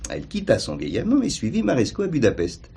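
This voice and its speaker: An actor playing a formal, precise notary with a husky voice, recounting facts in a measured way in a crime drama. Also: male voice, medium pitch, huge pitch swings, dark tone, full character voice. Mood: narrating. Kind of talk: French crime drama acting.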